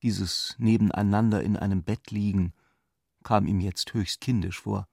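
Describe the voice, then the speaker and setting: An actor playing a serious, doubting narrator and lover in a literary radio drama, speaking in a measured, deliberate way. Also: male voice, fairly low pitch, fairly even intonation, vocal fry, darkish tone, no echo, studio quality. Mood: reflective.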